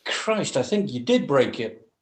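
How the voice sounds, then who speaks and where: A man acting a gruff, callous, domineering stepfather in a script read, scornful and given to exasperated orders. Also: mid-range voice, sing-song, a little slow. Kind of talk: actor's script table read.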